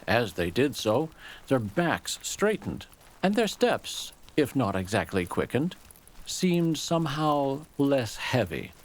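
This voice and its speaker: Slow-paced man narrating a story. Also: mid-range voice, sing-song, low-quality recording. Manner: measured, literary storytelling. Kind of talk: scripted dramatic story narration.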